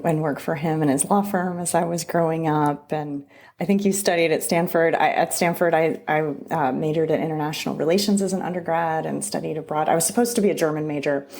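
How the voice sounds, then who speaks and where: Brief: middle-aged woman, lowish voice with vocal fry, conversational guest, restarts often. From Southern California. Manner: warm storytelling with many restarts. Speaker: immigration attorney guest. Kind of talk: conversational interview.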